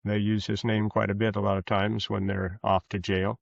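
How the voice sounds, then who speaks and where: A man giving a reflective interview as a podcast guest, speaking earnestly and haltingly, often rephrasing as he goes. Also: on the low side, little pitch movement, vocal fry. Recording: muffled, roomy, echoey sound.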